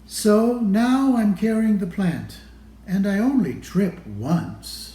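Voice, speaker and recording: A man reading a children's picture book aloud in a deliberate manner, pausing between phrases. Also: high voice, expressive, warm tone. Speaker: storybook reader. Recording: roomy, echoey room sound.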